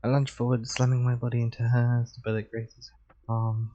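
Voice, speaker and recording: A man livestreaming a game and reading visual novel narration aloud in a relaxed, chilled manner. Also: medium pitch, fairly quick, muffled recording. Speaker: game streamer.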